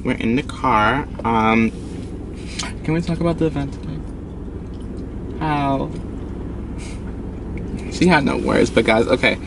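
A man's voice: medium pitch, takes it slowly, pauses often. A twenty-year-old man chatting casually for a vlog, rambling in slang and circling back on his words. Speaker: vlogger. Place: inside a car at night. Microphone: camera mounted on the dashboard. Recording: noisy car cabin, distant camera mic.

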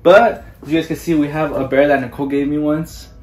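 A man's voice: a little high, steady tone, brisk, distant mic, music in the background. A man, chatting casually on a house tour and slipping Spanish words into his English. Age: 20s. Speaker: vlogger. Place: indoors, small bathrooms and bedrooms of a house. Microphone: handheld camera or phone held by another person, a few feet away. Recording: roomy echo, voice away from the mic.

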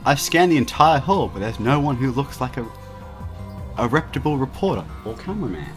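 Animated young man reading a game aloud. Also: medium pitch, brisk. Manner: stumbling read-aloud delivery, often breaking into laughter. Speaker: let's player reading a visual novel aloud. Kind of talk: reading game text aloud with joking chat. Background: video game music.

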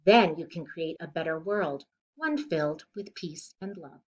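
A middle-aged woman reads a picture book aloud to children. Her voice sits a little low but rises and falls in a lively, expressive way. The sound is a slightly muffled home recording from a living room. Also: a little slow. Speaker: children's storybook reader.

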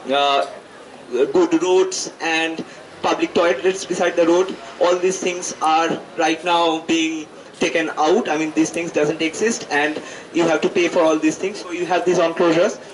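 A young man with an Indian accent speaks in a slightly high voice at a public gathering. He is thoughtful and halting, circling back to reword academic phrases as he makes a political address. The sound is a little distant, with some live noise around it. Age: 20s. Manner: halting, reflective, academic wording with frequent rephrasing. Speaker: student activist giving a public address. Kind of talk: political speech, partly improvised. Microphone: handheld microphone held close to the mouth. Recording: distant mic, noisy live event sound.

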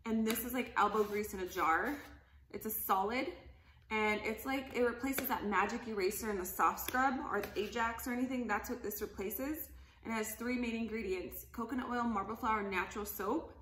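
A woman's voice: a little high, moderate pace, a bit bright, echoey room, slight background noise, rough recording. A woman in her thirties chatting enthusiastically as she demonstrates cleaning products, laughing often, in a casual home video. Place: living room, indoors, at a table.

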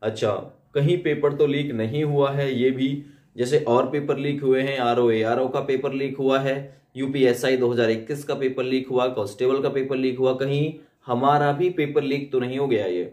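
A man's voice: mid-range voice, fairly even intonation, normal speed, darkish tone, reverberant. A young man explains updates informally to students, repeating himself and adding tag questions. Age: young adult. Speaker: host of exam update videos.

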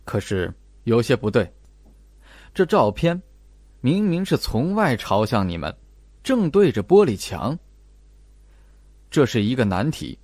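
Calm probing detective, acted by a man, measured questions, audiobook mystery, expressive intonation, dry sound. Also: medium pitch, no room sound. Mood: analytical. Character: calm, probing detective laying out his deductions. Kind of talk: audiobook character acting.

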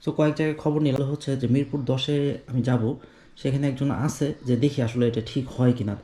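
A Bangladeshi-accented man speaks in a roomy, echoing space with clear noise behind him. His voice is mid-pitched, and he explains things casually and a little hesitantly, in the manner of a vlogger addressing viewers. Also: fairly even intonation, fast talker. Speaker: motorbike vlogger. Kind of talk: casual vlog talk to viewers. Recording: roomy echo, clearly noisy background.

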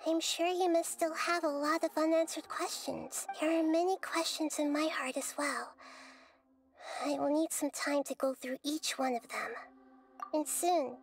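A woman voicing a gentle, wise, childlike goddess in a video game, measured and thoughtful. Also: sits high.

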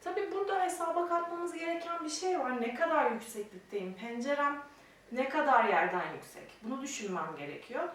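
A woman's voice: high voice, animated, a little fast. A young adult woman teaching a mime technique step by step, explaining and demonstrating, at times counting out the movements. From Turkey. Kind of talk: step-by-step instruction. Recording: very echoey room, distant mic, faint noise.

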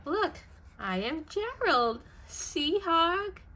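An eager, carefree elephant from a picture book, performed by a woman. The voice sits high and swoops widely, with dramatic exclamations and an excitable temper. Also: drawn-out words, a little hiss or hum, heavily acted.

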